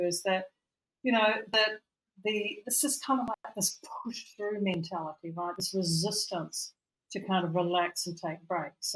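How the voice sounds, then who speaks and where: A woman's voice: on the low side, a bit bright, rough recording. A woman co-hosting a casual chat as a coach, talking reflectively and rambling, with many "you know"s and "like"s, over a remote call. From Europe. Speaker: podcast co-host and coach.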